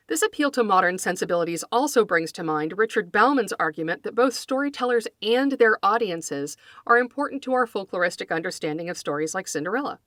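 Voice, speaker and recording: A woman podcast host reading scripted educational narration in a measured, articulate, scholarly manner, close to the microphone. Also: mid-range voice, fairly bright tone, no room sound. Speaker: podcast host, folklore scholar and fiction writer.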